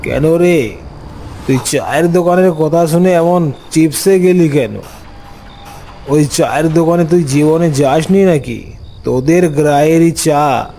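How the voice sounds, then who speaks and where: A man narrating a scripted first-person story as an uncle, with unhurried, expressive dramatic delivery. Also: on the high side.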